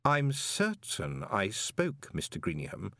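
A man doing a calm, icy city ruler. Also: medium pitch, dry recording, studio quality. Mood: cold. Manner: calm, measured, quietly menacing.

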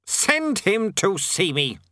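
A man's voice: high voice, big pitch swings, slow pace, sharp tone, no room sound, clean studio sound, pitched above the performer's own voice, heavily acted. A man voicing an irritable, officious demon king in a storybook, snapping out questions imperiously. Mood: exasperated.

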